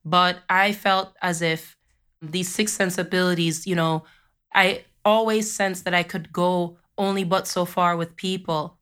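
A Jamaican-born woman hosting a spiritual podcast with a cold, losing her voice a little, who moves from slow chanted affirmations into a rambling talk full of fillers. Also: on the low side, fairly bright tone, clear, resonant tone. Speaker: podcast host.

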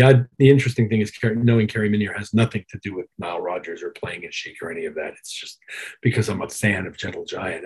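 A man with a husky voice talking as a musician guest in an interview, measured and reflective as he recounts his career. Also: deep voice, low-quality recording. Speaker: musician guest, touring keyboard player. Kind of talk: interview chat, telling career anecdotes.

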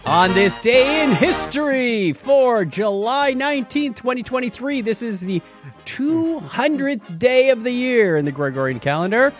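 A man with a fairly high voice and very animated intonation co-hosts a podcast. He keeps up casual, jokey banter as he goes through listener notes and trivia. Music plays underneath. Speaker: podcast co-host.

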